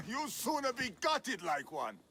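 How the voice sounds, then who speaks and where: High-pitched, pompous athletics trainer. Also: male voice, very animated, takes it slowly, pushed and bright, exaggerated voice. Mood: scornful. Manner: pompous, scoffing, declamatory. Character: boastful, haughty, hot-tempered Olympic trainer. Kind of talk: theatrical video game voice acting.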